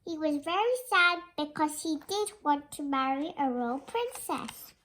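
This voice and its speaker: High-pitched child reading a fairy tale. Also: expressive, measured pace, pushed and bright, a little hiss or hum. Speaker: storybook reader. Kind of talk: read-aloud fairy tale narration.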